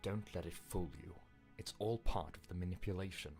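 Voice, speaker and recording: A man voicing a grave, commanding, wary narrator in a story game, with measured, ominous delivery. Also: low-pitched, a little mellow, soft background music. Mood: stern, warning.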